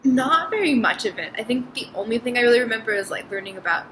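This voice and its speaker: A woman's voice: on the high side, animated, quick pace, fairly bright tone, a little hiss or hum, poor audio. A young woman from New Mexico answering an interview casually, saying "like" a lot. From New Mexico, USA. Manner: chatty, lots of "like".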